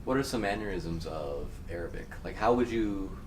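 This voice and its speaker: A teenage student interviewer asking short questions and murmuring agreement. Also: male voice, sits low, lively intonation, normal speed, roomy sound, recorded from a distance, noisy background. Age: teens. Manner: brief prompts and murmured mm-hmms.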